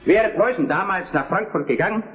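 A man's voice: sits high, fairly quick, soft background music, lightly acted. An actor playing a shrewd, self-assured Prussian statesman with formal, courtly delivery in a historical radio play. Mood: persuasive. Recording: dull, muffled recording with long hall reverb.

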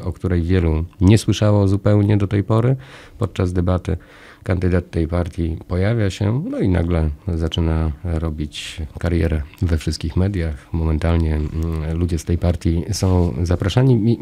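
A middle-aged man hosting a radio interview in a small studio, speaking close into a desk microphone. His voice sits very low and sounds dark and mellow. He asks long, winding questions and builds them out of everyday comparisons. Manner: long, discursive questions with analogies. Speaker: radio host. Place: small radio studio with orange acoustic panels. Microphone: studio mic on a desk arm, wearing headphones.

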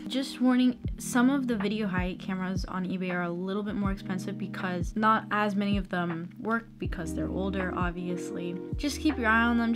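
A young American woman explaining things in a casual, chatty vlog style, recorded indoors in a small room. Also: mid-range voice. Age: young adult. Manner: chatty, informal. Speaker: video tutorial presenter. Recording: music playing behind the voice. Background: plucked guitar music.

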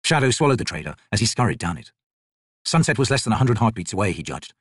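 A man's voice: lowish voice, big pitch swings, quick pace, husky, no echo, studio quality. A man reading scripted fantasy fiction as an audiobook narrator.

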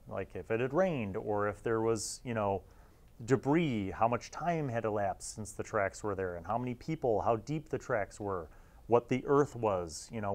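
A middle-aged man hosting a casual review to camera, talking in a rambling, reflective way. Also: medium pitch. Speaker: video host and reviewer.

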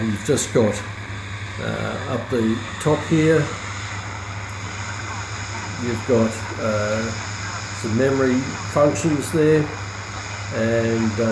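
A man talks through a hands-on demonstration at a slow, deliberate pace. His delivery is informal and hesitant, with frequent pauses mid-thought. The room echoes around his medium-pitched voice, with clear noise behind it. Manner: hesitant, unscripted, pausing often mid-sentence. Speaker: radio hobbyist reviewer. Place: indoor room with hard countertop. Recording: roomy echo, clear background noise.

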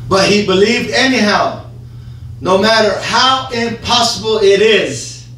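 A man preaching a sermon in a church, fervent and often shouting as the congregation answers him. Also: high-pitched, unhurried. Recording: echoey church room, from far off, faint hum.

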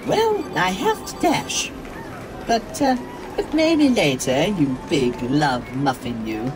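A man playing a coy, theatrical fake damsel in falsetto in a comic sci-fi audio drama. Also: sing-song, takes it slowly, over music.

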